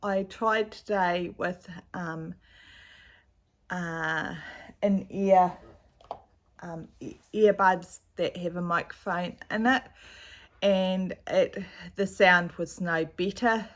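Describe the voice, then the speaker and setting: A middle-aged woman with a slightly croaky voice, chatting casually at home about her cross-stitch projects with a rambling, chatty manner, recorded on a camera's built-in mic. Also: fairly low pitch, steady tone, takes it slowly. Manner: chatty, rambling, with fillers and asides. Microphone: camera's built-in mic, no external microphone.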